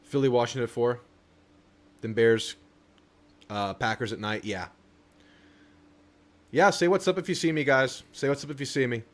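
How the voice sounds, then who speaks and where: Young guy on a livestream, chatting loose, reading out viewer comments, mid-pitched voice. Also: moderate pace, pauses often. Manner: casual, reads viewer chats aloud and answers them. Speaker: livestream host. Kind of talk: casual live stream chat.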